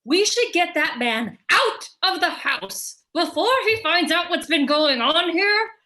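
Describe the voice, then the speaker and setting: A woman playing a shrill, dramatically pleading, panicky guest in a comedy table read. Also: lively intonation, a little slow, pushed and bright, exaggerated voice. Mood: frantic.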